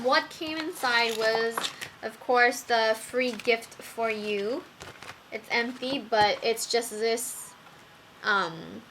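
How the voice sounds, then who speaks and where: A young woman reviewing makeup brushes in a casual vlog, talking slowly with many ums while her voice wears out. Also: fairly high pitch, bright, forceful tone, a little hiss or hum, low-quality recording. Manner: slow, with many ums and fillers. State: losing her voice. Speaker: beauty vlogger.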